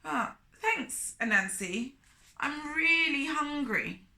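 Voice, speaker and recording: A woman's voice: high voice, animated, slow, sharp tone, roomy sound, voice pushed up, full character voice. A woman voicing a meek, polite male turtle in a storybook, hesitant and apologetic. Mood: grateful, hungry.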